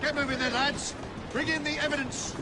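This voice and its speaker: A man's voice: very high-pitched, level tone, a little fast. An actor playing a bullying, blustering male Victorian police sergeant in a video game, amid heavy noise. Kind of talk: video game cutscene acting.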